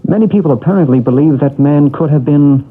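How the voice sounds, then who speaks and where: An actor playing a calm male psychic investigator in a 1970s radio drama, speaking in a measured, reassuring way. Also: a little high, breathy edge. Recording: old lo-fi radio broadcast, phone-like band.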